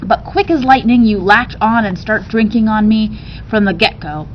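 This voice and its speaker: A woman reading the part of a country mother in a storybook, telling a family tale in an animated, folksy way. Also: normal speed, lightly acted. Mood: animated retelling. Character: giggly country mother fondly retelling a birth tale. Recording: dull, noisy recording with rumble.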